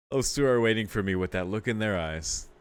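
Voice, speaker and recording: A man's voice: on the low side, clean tone. A man hosting a let's play, reading a visual novel aloud with informal, amused commentary. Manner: amused, sweary, informal asides.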